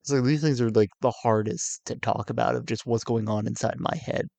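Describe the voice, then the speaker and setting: A tired young man in his twenties, coming down with a cold, with a low raspy voice, talking hesitantly and candidly to his viewers. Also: fairly even intonation, normal speed, no room sound. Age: 20s. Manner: hesitant, soft-spoken, many fillers and restarts. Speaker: YouTuber. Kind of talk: candid confessional vlog.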